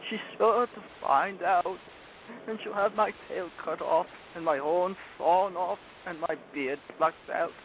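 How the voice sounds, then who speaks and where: A man reading a storybook, voicing a guilty, plaintive fawn who confesses remorsefully. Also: fairly high pitch. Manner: plaintive, sobbing, drawn-out confessing. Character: guilty, tearful, remorseful male fawn with horns. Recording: tinny phone-like audio with loud noise.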